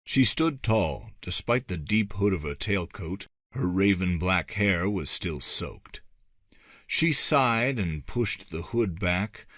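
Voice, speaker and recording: Male audiobook narrator, low register, expressive and varied intonation, measured dramatic pacing for gothic fantasy, dry studio-like sound. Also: dry recording.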